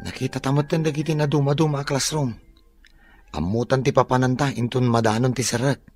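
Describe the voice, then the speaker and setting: A man's voice: mid-range voice, flat delivery, fairly quick, a little mellow, faint music behind, close to an everyday voice. An actor playing an easygoing, teasing young male student in an Ilocano radio drama.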